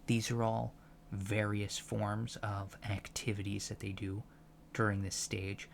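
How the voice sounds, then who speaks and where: A soft-spoken man in an ASMR roleplay as a professor, explaining things haltingly with pedantic asides. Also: fairly low pitch, completely flat delivery, vocal fry, a little hiss or hum. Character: patient alien professor lecturing on humans, fascinated by them. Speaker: ASMR roleplay performer playing an alien college lecturer.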